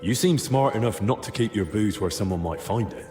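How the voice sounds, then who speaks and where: An actor playing a blunt, probing young male investigator in a video game cutscene, speaking in a measured, matter-of-fact way. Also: lowish voice, expressive, a little mellow, soft background music, close to an everyday voice. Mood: probing, wry.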